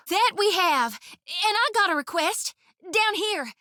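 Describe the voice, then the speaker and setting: An actress voicing a young, blunt, priestess in a video game, with a drawl and a teasing, devout manner. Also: female voice, very high voice, lively intonation, slow pace, bright, forceful tone, no room sound, heavily acted. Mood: awestruck. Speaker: game companion. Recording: dry studio recording.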